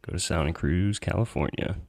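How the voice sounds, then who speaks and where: A man's voice: low-pitched, fairly quick, gravelly. A man hosting a podcast, telling a personal story in a casual, slangy, conversational way with some swearing.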